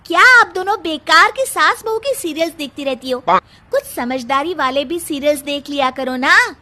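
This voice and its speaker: Lady doing a really high bratty cartoon girl, smug n teasing, voice swoops all over. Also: lively intonation, moderate pace, bright, forceful tone, full character voice. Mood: scornful. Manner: teasing, sneering, exaggerated. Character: young mocking, giggling college-girl sister-in-law, rude and smug. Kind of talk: Hindi cartoon story dialogue.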